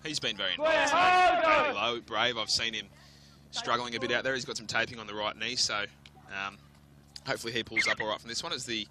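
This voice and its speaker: A man's voice: mid-range voice, sing-song, brisk, over a noisy crowd. A man giving chatty, analytical colour commentary at an outdoor rugby league match. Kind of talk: live sports commentary and analysis.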